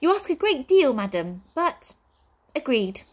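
A woman's voice: high voice, sing-song, a little slow, voice pushed up. A woman voicing a fussy, indignant male wizard in a children's story, formal, pompous and exclamatory. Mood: exasperated.

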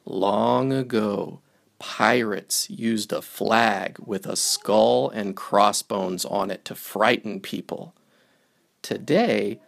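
A man narrating a picture book for young children, reading it aloud in simple, deliberate phrases. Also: lowish voice, gravelly.

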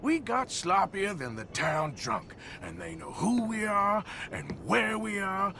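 An actor playing a weary, gruff middle-aged outlaw enforcer who talks bluntly. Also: male voice, sits high, big pitch swings, bright, forceful tone, heavily acted. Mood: worried. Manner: gruff, blunt, slow-spoken. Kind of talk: video game cutscene acting.

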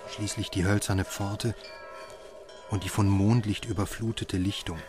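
A haunted doctor in a radio play, voiced by a male actor, caught in a nightmare. His voice sits on the low side. Loud music runs beneath him. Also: steady tone, a little slow, natural voice. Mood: grave narrating. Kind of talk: radio drama acting and first-person narration.

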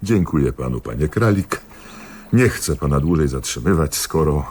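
Police commissioner, very low husky voice, swooping intonation, dry, pedantic, sardonic, radio drama. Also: male voice, animated, moderate pace, a little mellow, full character voice. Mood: dry reproach. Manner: methodical, insistent, sardonic. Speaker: radio play actor.

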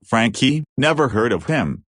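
A man's voice: medium pitch, very animated, measured pace, no echo, clean studio sound. A man playing a helpful, slightly bumbling superhero dog in an amateur cartoon parody, earnest and reassuring. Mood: friendly.

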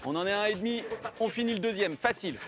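A man's voice: high-pitched, rapid-fire. A man coaching in an indoor sports hall, giving instructions and bantering casually. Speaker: tennis coach. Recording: music over the voice.

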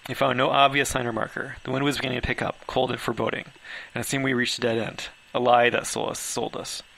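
A man's voice: mid-range voice, little pitch movement, quick pace, vocal fry, bright, forceful tone, low-quality recording. A man reading his own story aloud as amateur first-person narration, occasionally stumbling over words.

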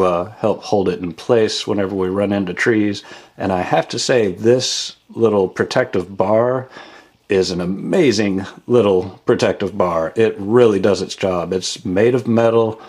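Low-voiced man reviewing gear. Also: darkish tone. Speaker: product reviewer and channel host. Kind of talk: casual product review voice-over.

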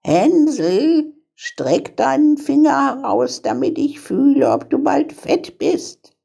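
A woman's voice: high-pitched, animated, slow pace, vocal fry, dark tone, pitched above the performer's own voice, exaggerated voice. A woman voicing a spiteful old witch in a fairy tale, mocking and barking orders. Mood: demanding. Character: wicked old witch, spiteful, bossy, gloating child-eater. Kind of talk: fairy-tale storybook character voice.

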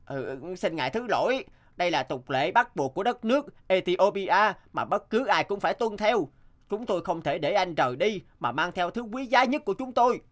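A loyal, patriotic guard from a cartoon folktale. He is solemn and courteous yet firm, declaiming with expressive intonation. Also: high-pitched, normal speed. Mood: firm, apologetic. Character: dutiful, patriotic Ethiopian guard, firm but polite. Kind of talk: animated folktale dubbing.

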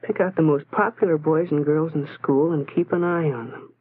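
An actor playing a lonely, self-doubting teenage schoolboy, musing to himself in a brooding way in a 1940s educational film style, on a tinny, noisy soundtrack. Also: male voice, fairly high pitch, steady tone, moderate pace, breathy edge. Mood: pondering. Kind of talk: 1940s educational film inner-monologue narration.

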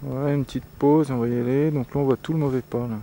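A man's voice: medium pitch, quick pace, slight background noise. A man casually narrating a ski touring trip outdoors on a snowy glacier, pointing out the route as he goes. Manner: relaxed, points things out with "voilà" and "là".

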